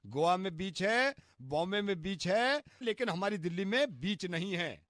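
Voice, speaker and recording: A male radio host on a prank call, slightly high in pitch and recorded dry. He talks persistently in character and drops English phrases into his patter. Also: lively intonation, moderate pace, fairly bright tone, dry recording. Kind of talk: prank phone calls in character.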